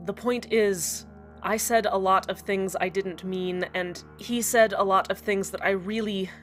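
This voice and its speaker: Woman doing a sad radio host on air, talks slow, keeps pausing, soft music behind. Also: mid-range voice, steady tone, lightly acted. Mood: remorseful. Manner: earnest and halting, full of pauses. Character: heartbroken, apologetic community radio host. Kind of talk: fan radio drama monologue.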